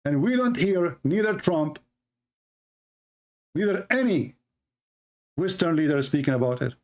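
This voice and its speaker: A man's voice: a little high, expressive, unhurried. A man hosting a live call-in debate stream, arguing in a combative, sarcastic way and speaking fluent English with non-native grammar. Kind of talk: heated live-stream argument.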